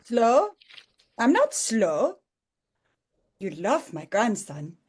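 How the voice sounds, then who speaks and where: An actress as a slow-talking, high-voiced elderly Austrian widow in a romcom read. She is wholesome and doting, and gently insistent with customers. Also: wildly swooping pitch, breathy edge, full character voice. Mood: proud, fond. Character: sweet, wholesome old Austrian widow shopkeeper in her seventies. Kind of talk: table read of a romcom script.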